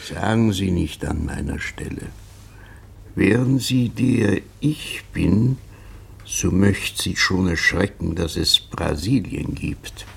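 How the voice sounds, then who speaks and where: A man's voice: low-pitched, expressive, slow, creaky, a bit bright, husky, heavily acted. A man playing a bitter, melancholy tavern regular drowning his sorrows in a radio play, weary and sardonic. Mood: bitter, brooding. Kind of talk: literary radio play acting.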